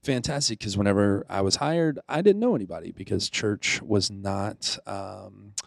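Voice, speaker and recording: A man's voice: fairly low pitch, moderate pace, creaky, no echo, clean studio sound. A man in his thirties from Florida, a youth ministry director, speaking earnestly and plainly as he introduces himself and reads his reflection. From Pensacola, Florida. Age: 30s. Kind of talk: casual chat, then reading a reflection.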